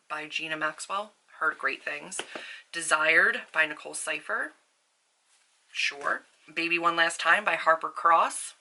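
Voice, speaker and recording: A woman with a fairly deep voice and a very bright, forward tone. She talks casually and upbeat, like a vlogger at home, with informal phrasing and little asides. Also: rough recording. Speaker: book vlogger and author. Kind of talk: casual chatty vlog. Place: indoors at a desk at home.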